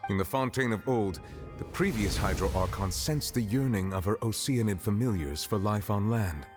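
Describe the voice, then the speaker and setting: An actor playing a stern, composed chief justice in a video game, speaking in formal, measured pronouncements over music. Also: male voice, lowish voice, close to an everyday voice. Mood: explanatory.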